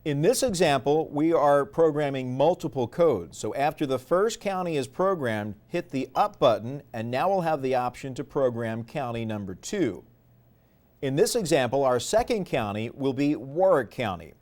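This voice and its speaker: A man's voice: mid-range voice, animated, normal speed, a little mellow. A man narrating a product tutorial step by step in a methodical, explanatory way. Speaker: instructional presenter.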